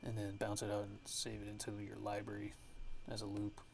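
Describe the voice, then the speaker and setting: Male producer, lowish gravelly voice, casual ASMR tutorial delivery, halting and thinking aloud, noisy recording. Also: completely flat delivery. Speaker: music producer giving a tutorial.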